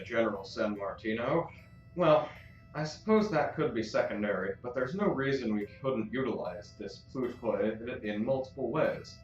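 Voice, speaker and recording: A man playing a formal, calculating council master who speaks in measured, diplomatic phrases in a science-fiction audio drama. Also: mid-range voice, lightly acted. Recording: distant, echoey, slightly dull, music behind.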